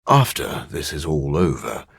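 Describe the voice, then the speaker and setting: An actor playing a brooding, scholarly vampire in a video game, a man with a husky, gravelly voice and a dry, formal manner. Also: rumbling low, big pitch swings, unhurried, no echo, full character voice. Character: brooding, scholarly living vampire scientist, grim and awkward, adult man. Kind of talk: video game character acting.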